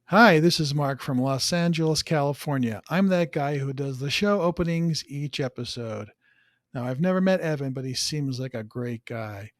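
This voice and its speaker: A man's voice: mid-range voice, normal speed, dry recording. Middle-aged man with a dry, close sound. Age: middle-aged or older. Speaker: show announcer giving a greeting. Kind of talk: joking congratulatory video message.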